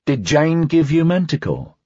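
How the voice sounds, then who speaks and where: A brash male deputy officer from an audiobook, played by a male narrator, wry and insistent. His voice sits raised and husky, with a cheeky, loyal edge and very little room around it. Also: a little high, very animated, measured pace, dark tone, dry recording, muffled recording, pitched above the performer's own voice. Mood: curious. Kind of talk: audiobook character dialogue.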